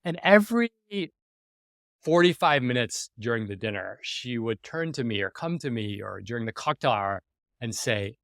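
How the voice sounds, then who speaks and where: Man, 40s, from New York City, podcast host, middle pitch, animated, restarts a lot, dry close sound. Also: moderate pace, gravelly, no room sound. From New York City, USA. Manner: animated, self-interrupting, with frequent restarts and sudden emphatic jumps. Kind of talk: personal reflective monologue.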